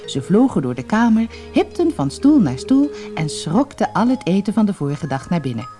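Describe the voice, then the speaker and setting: A woman narrates a fairy tale as an expressive storyteller. Her medium-pitched voice moves in very lively swings, with music behind it. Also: moderate pace.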